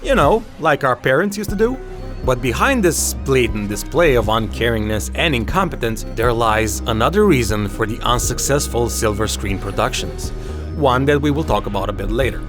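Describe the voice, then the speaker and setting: A man's voice: mid-range voice, lively intonation, normal speed. A man narrating an opinionated video essay as host, with a sarcastic, emphatic way of talking. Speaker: video game commentary host. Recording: music behind the voice. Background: background music.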